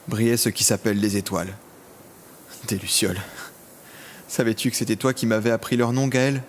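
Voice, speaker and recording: An actor playing a lonely, vengeful imprisoned villain in a scripted audio drama, speaking in brooding, literary monologue. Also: male voice, fairly low pitch, a little hiss or hum, close to an everyday voice. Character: vengeful, lonely imprisoned villain, self-styled son of death.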